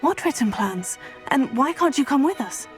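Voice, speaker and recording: A woman's voice: sits high, sing-song, normal speed. An actress playing a composed, practical teenage witch, direct and inquisitive in fantasy game dialogue. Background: faint background music.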